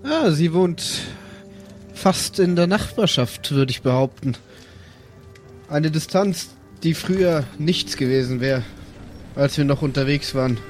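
A man's voice: a little high, lively intonation, measured pace. A man casually improvising as a player in a tabletop game. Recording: music under the voice. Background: music.

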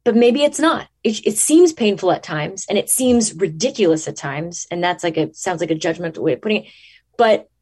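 A woman actress talking casually in an interview, thinking aloud and restarting her sentences, her pitch jumping up when she makes a point. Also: expressive, normal speed, darkish tone, breathy edge. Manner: rises in pitch when emphatic.

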